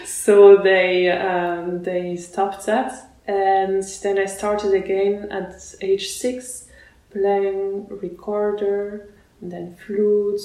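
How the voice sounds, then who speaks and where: A woman born in Russia answers interview questions at an unhurried pace, pausing often with fillers and false starts. Her voice sits in a very echoey room. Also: medium pitch, dark tone. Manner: hesitant, many fillers and restarts. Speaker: guest musician.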